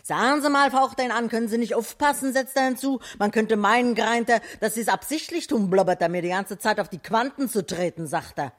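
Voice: medium pitch, brisk, hardly any pauses, close to an everyday voice. A performer playing a cheeky, disdainful city storyteller in a 1960s radio play, colloquial and mocking. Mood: mocking, whiny.

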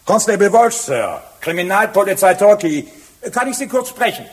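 An actor playing a polite but persistent police constable, formal and official, in a German radio crime drama. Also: male voice, high voice, very animated, normal speed, breathy edge, full character voice. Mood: formal, insistent.

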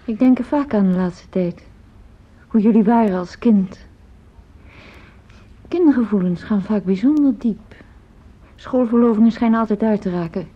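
An actress playing a gentle, ailing older mother in a radio drama, soft-spoken and reassuring. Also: medium pitch, normal speed, long pauses, natural voice. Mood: gentle, pensive. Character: gentle ailing older mother of grown children, downplaying her illness. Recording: dull lo-fi radio play recording.

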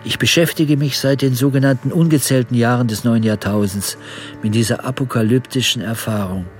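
A man's voice: medium pitch, a little fast, faint music behind, close to an everyday voice. An actor playing an obsessive, pedantic man who formally reads out his letter in a radio drama monologue. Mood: pedantic, insistent.

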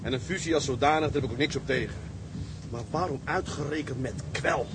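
A man playing a bitter, stubborn, seasoned tugboat seaman who argues with forceful indignation, in radio drama style. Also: a little high, noisy background, lightly acted.

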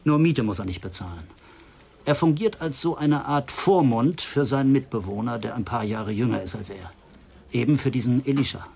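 Ein Schauspieler spielt in einem deutschen Krimihörspiel einen besorgten, mitteilsamen Klinikarzt, der ernst und erklärend berichtet. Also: male voice, mid-range voice, expressive, moderate pace, gravelly, darkish tone, breathy edge. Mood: concerned. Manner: explanatory, earnest. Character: concerned, talkative male hospital doctor reporting a case. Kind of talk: German radio crime drama acting.

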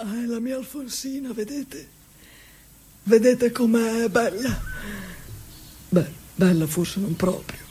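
An actor playing a simple, tender, troubled asylum-dwelling husband in a halting, repetitive theatrical monologue. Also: male voice, extremely high pitch, full character voice. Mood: tender, proud. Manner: halting, repetitive, swinging from hushed to loud. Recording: clearly noisy ambient background.

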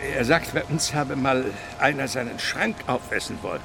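A man's voice: mid-range voice, animated, normal speed, gravelly. A man in a radio drama playing a dry, learned French police deputy who interprets with wry, formal precision. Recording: noisy outdoor street ambience.

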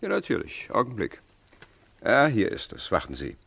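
Government minister, animated low voice, courteous, authoritative, formal, dry sound, radio drama. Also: male voice, moderate pace, vocal fry, breathy edge, no room sound, heavily acted. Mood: courteous, businesslike. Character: dignified, courteous male government minister in command. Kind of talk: radio crime drama acting.

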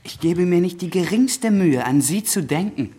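A man's voice: high voice, animated, moderate pace, dark tone, slightly rough. A young male actor playing a cocky, domineering older schoolboy in a radio drama, haughty, self-assured and patronising. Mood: insolent, angry.

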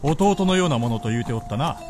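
An actor playing an imperious, ruthless feudal warlord in a radio drama, giving abrupt orders in archaic lordly speech with a forceful, commanding delivery. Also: male voice, medium pitch, lively intonation, fast, over music, close to an everyday voice. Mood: brooding, conversational. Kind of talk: Japanese radio drama acting.

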